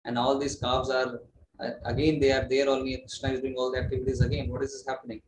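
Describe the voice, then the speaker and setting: A man's voice: mid-range voice, completely flat delivery, fast, far from the mic, lightly acted. A man voicing a doubtful, puzzled male god who questions aloud what he sees. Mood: bewildered. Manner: wondering, questioning. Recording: roomy echo with faint noise.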